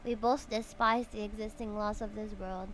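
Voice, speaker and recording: A woman's voice: medium pitch, fairly even intonation, normal speed, fairly bright tone, a little hiss or hum, rough recording. A woman, chatting casually and reading game dialogue aloud on a livestream. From Philippines. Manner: chatty, reacts with exclamations.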